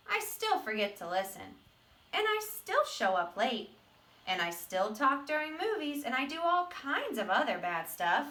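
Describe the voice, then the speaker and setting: A woman voicing a mischievous little male sunflower seed confessing in a dramatic, emphatic storybook read-aloud with drawn-out words. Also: mid-range voice, pushed and bright. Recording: roomy echo, distant microphone.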